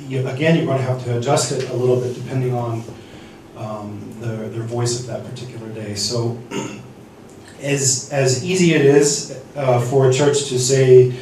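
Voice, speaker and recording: A man teaching a seminar on church sound desks, speaking haltingly with many restarts and fillers. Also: medium pitch, steady tone, darkish tone, a little hiss or hum. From United States. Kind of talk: teaching sound-desk basics. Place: church hall. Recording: echoey hall from far off.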